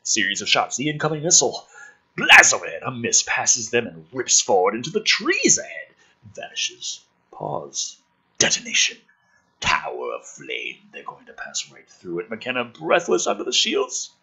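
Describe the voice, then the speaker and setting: A man with a raspy voice reading a script aloud dramatically, mixed with casual, sardonic, sweary commentary. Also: mid-range voice, huge pitch swings, moderate pace, sharp tone, rough recording. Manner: sardonic, sweary, exasperated asides. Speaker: host reading and critiquing a film script draft.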